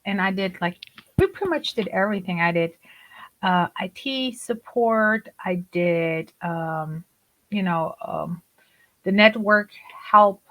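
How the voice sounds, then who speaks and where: A woman's voice: mid-range voice, takes it slowly, fairly bright tone, low-quality recording. A woman in her forties, raised in Germany with Turkish as her first language, chatting as a podcast guest and laughing often. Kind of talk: conversational interview.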